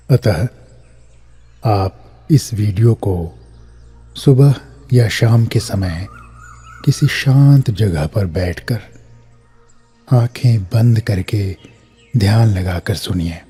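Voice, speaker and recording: A man guiding a scripted meditation in a measured way, pausing between short phrases. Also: fairly low pitch, mellow, husky. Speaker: meditation guide. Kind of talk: scripted guided meditation, instructional narration.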